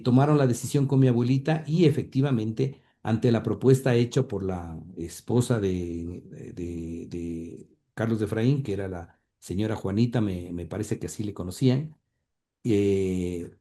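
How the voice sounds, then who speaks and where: Hesitant man with a very dark voice. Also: medium pitch. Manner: hesitant, many fillers and repeated words. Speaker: interview guest, grandson of the radio pioneer. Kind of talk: conversational storytelling interview.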